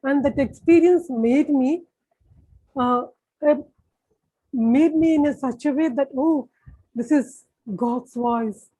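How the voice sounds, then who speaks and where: A woman with an Indian accent giving a personal religious testimony as an interview guest, with halting, repetitive phrasing. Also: high-pitched, mellow, rough recording.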